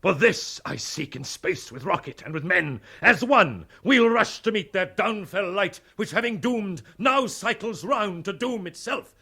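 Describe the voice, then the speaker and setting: An actor playing a blinded, obsessed old starship captain, declaiming grandly and poetically in a 1960s British radio drama. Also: male voice, high voice, expressive, unhurried, gravelly, hardly any pauses, sharp tone, slightly rough, full character voice. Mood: fervent, commanding.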